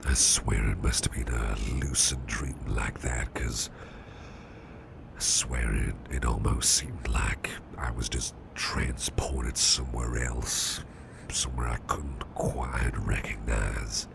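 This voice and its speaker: An extremely deep, gruff male boss, acted by a man for intimate ASMR roleplay, heavy with gravel and half-whispered. He rambles slowly and hesitantly, like a protective, caring confidant. There is clear background noise behind him. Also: fairly even intonation, full character voice. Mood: puzzled, musing. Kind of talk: ASMR roleplay, soft intimate storytelling to the listener. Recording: clearly noisy background hiss or ambience.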